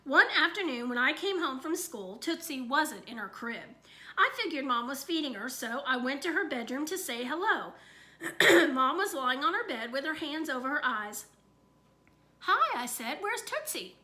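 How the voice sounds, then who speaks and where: Woman reading a kids' story aloud, high bright voice, very lively. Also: normal speed. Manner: expressive, animated. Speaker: read-aloud reader.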